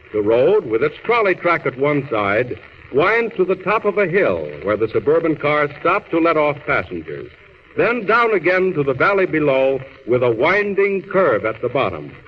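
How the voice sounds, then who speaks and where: A man narrating a superhero radio serial in a grand, declamatory 1930s style. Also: fairly high pitch. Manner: theatrical, declamatory, crisp phrasing. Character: grand, urgent male storyteller of a superhero serial. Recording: dull, hissy old radio recording.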